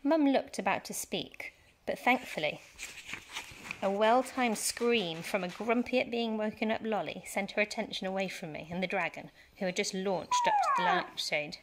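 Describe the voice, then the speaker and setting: A woman reading a children's storybook aloud as a teacher, with animated, dramatic emphasis. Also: medium pitch, moderate pace, a little hiss or hum. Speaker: primary school teacher reading story time.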